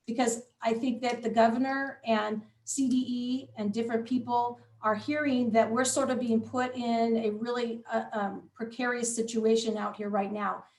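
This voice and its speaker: A fairly high-voiced woman heard from some distance in a roomy, echoing space. She answers community questions in a measured, thinking-aloud way, full of ums and restarts. Her voice is a little worn, and she sounds like she is close to losing it. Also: fairly even intonation, darkish tone. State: losing her voice, clearing throat. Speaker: school superintendent hosting a town hall.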